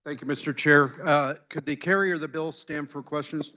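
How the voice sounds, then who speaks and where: A man serving as a state legislator, speaking plainly and somewhat haltingly in a floor debate in a legislative chamber. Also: on the high side, fairly even intonation, bright, forceful tone.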